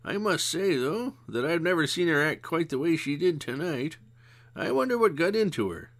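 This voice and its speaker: Calm older woman, dry and knowing, raised pitch, as a man reads her. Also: moderate pace. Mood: wry. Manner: dry, knowing, gently scolding.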